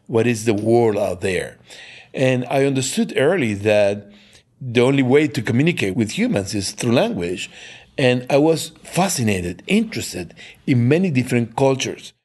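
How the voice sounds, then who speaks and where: A man's voice: mid-range voice, normal speed. A man from Cuba who works as a chemistry professor, telling an interviewer how he came to love languages with eager enthusiasm. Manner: animated, enthusiastic. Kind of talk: interview storytelling.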